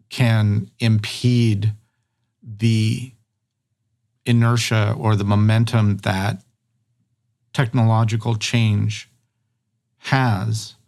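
A man's voice: mid-range voice, a little mellow. A middle-aged man hosting a conversational podcast, rambling through his thoughts with many fillers and restarts.

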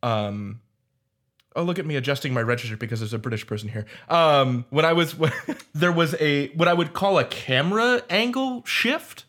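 A man's voice: on the high side, lively intonation, normal speed, a bit bright. Scholarly, wordy man on a panel. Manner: articulate, wordy, scholarly phrasing. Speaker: roundtable panelist, audio fiction creator. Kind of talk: academic panel discussion.

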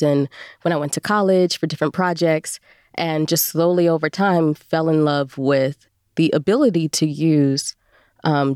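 A woman's voice: sits low, a little mellow, no echo, clean studio sound. A woman speaking casually as an interview guest, a voice performer telling her story.

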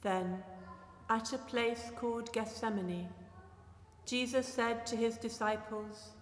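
A woman's voice: fairly high pitch, slow pace. A woman reading scripted narration for a dramatic Bible reading. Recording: echoey church, long reverb, noticeable noise.

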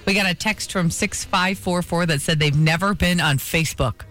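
A woman co-hosting a radio morning show, playful and quick to laugh. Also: fairly low pitch, fairly bright tone, soft background music. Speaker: radio co-host. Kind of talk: casual on-air banter. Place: radio studio.

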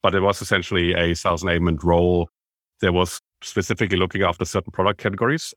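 A man with a very low voice and a German accent talks as a podcast guest. He explains at length and with open enthusiasm. The sound is dry and close. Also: fairly bright tone, no room sound. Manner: enthusiastic, self-described business nerd, explains at length. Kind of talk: conversational interview.

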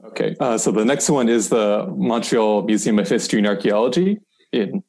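Man, low pitch, informal podcast guest, lightly amused, explanatory. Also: lively intonation, normal speed, darkish tone, husky. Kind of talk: reading and explaining reviews in casual chat.

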